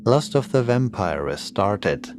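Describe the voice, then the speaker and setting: A man's voice: fairly low pitch, very animated, takes it slowly, heavy background noise. A man reading scripted horror fiction as an audiobook narrator, in the manner of a prepared storytelling performance. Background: creepy music and sound effects.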